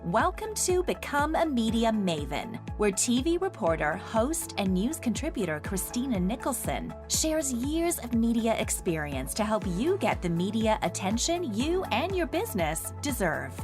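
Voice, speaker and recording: A woman announcer reading a scripted show intro in a lively, promotional way. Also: fairly high pitch, moderate pace, few pauses. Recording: intro with music behind.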